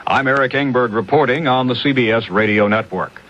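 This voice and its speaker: A man's voice: mid-range voice, fairly quick, a bit bright, muffled recording, a little hiss or hum. A man working as a radio newscaster, reading a scripted 1970s news bulletin on an old AM radio recording.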